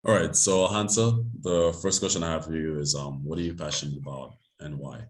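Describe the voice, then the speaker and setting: Very deep-voiced young male podcast host. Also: fairly even intonation, fairly quick, slight background noise. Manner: casual, full of likes and you knows. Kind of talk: casual interview talk.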